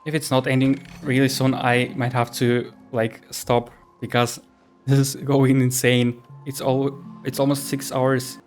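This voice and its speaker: A man giving casual reaction commentary on a game, thinking aloud in a halting way as a content creator. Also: medium pitch, little pitch movement, faint music behind.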